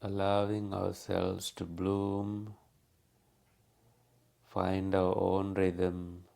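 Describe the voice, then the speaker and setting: A man leading a guided meditation as a teacher, speaking calmly and unhurriedly with long pauses. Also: low-pitched, creaky.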